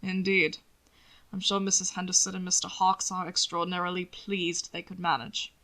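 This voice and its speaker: Lady doing a calm formal gentleman, lowered voice, measured n protective, romance audiobook. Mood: dry, composed. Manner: measured, formal, low-key. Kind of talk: audiobook character voice. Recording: occasional static crackle from microphone.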